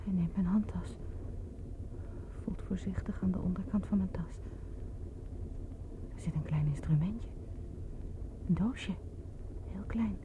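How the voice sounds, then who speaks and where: A woman playing an anxious, determined truth-seeker in a radio drama, by turns pressing and hushed. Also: fairly low pitch, measured pace, pauses often, noisy background, lightly acted. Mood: anxious, brooding. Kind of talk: radio drama acting with intimate interior monologue.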